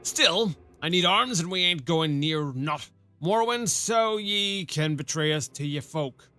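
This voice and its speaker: A man putting on a gruff rustic old army sergeant. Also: a little high, animated, measured pace, bright, forceful tone, soft background music. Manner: put-on rustic old-fashioned dialect. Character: gruff one-armed old male army veteran sergeant.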